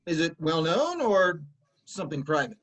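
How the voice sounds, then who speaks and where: An actor playing a humble, cheery old messenger from Corinth in a formal, expressive reading of a Greek tragedy. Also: male voice, on the high side, normal speed. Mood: probing, kindly.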